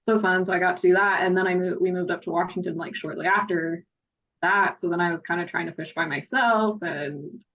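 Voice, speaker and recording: Low-voiced woman chatting, slightly lo-fi. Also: steady tone, brisk. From southern Utah, United States. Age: 30s. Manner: hesitant, piecemeal phrasing with constant "like". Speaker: podcast guest. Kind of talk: casual chat.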